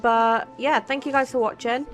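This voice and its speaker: A woman with a raised, girlish-leaning pitch does casual let's play commentary. She is chatty and irreverent, swearing freely, with faint game music behind her. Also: fairly even intonation, normal speed. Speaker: gaming streamer.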